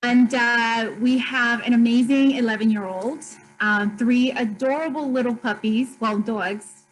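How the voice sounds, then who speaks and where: A woman's voice: a little high, unhurried, rough recording. A woman in her thirties or forties, from Colombia, speaking openly and earnestly as a guest on a video call interview. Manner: open, earnest, speaks of hard times steadily. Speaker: store owner, guest.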